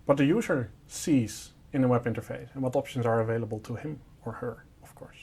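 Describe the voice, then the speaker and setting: A man's voice: medium pitch, a little fast, vocal fry, dark tone, a little hiss or hum. A man presenting a product, explaining a technical solution in an informal, step-by-step and simplified way.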